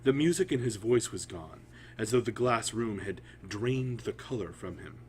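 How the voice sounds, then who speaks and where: A man hosting a storytelling livestream, speaking haltingly with frequent pauses. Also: on the low side, lively intonation. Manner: halting, pausing often. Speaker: writer, editor and stream host. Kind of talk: casual livestream chat and story reading. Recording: audible background hum and noise.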